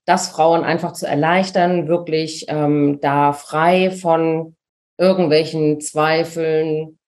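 A woman's voice: deep voice, flat delivery, takes it slowly, darkish tone. A woman who is a business coach answering podcast interview questions in a casual, enthusiastic way, with slangy words and frequent laughter.

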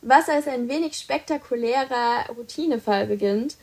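Chatty young woman, fairly high voice. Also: normal speed. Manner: enthusiastic, chatty, many fillers. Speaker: podcast co-host presenting books. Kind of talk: casual podcast chat.

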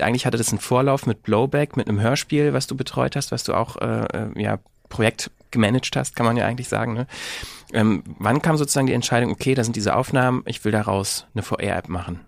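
A man's voice: mid-range voice, creaky, dry recording, clean studio sound. A man hosting a podcast interview, asking casual questions in informal, meandering phrasing.

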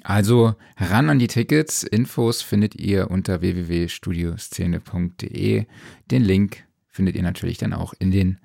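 A man's voice: deep voice, moderate pace, vocal fry, warm tone, no echo, clean studio sound. A man speaking casually and informally as a podcast host. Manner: informal, colloquial.

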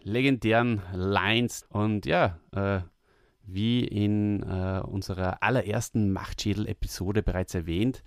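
A male podcast host talking in a relaxed, chatty way, with plenty of fillers. His voice sits low. The sound is dry and close. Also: measured pace, no echo. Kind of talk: casual podcast chat.

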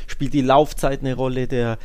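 A man with a mid-pitched voice chats as a football podcast co-host. His talk is informal and questioning, and he often rephrases himself midway. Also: level tone, moderate pace, a little mellow, clear, resonant tone. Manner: colloquial, questioning, self-correcting. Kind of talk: casual football discussion.

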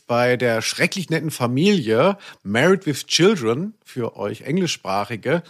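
A man with a German accent hosting a podcast in casual, humorous chat, rambling and teasing. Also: medium pitch. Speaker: podcast host.

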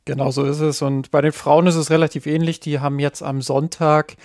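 A man's voice: a little high, flat delivery, brisk, a little mellow, no echo, studio quality. A man co-hosting a football podcast in casual chat, hesitant and full of fillers, often repeating words as he goes. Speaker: podcast co-host.

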